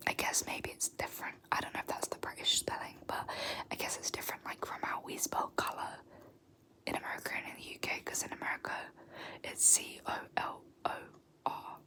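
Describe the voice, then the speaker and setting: A young woman whispering softly and slowly repeating words for an ASMR tag video. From South Africa. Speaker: YouTuber.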